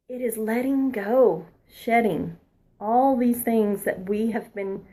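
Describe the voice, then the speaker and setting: A woman past her twenties giving a spiritual oracle card reading, earnest and encouraging, sometimes dropping into a soft whisper. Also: a little high. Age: adult past her twenties.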